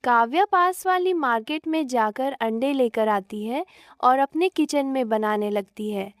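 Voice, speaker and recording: High-pitched, unhurried woman storyteller. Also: no room sound, clean studio sound. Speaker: narrator. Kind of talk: scripted story narration.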